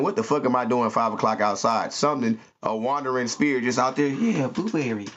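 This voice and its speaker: A man telling animated, slangy stories to his listeners. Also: mid-range voice. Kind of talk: animated comic storytelling. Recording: muffled lo-fi recording.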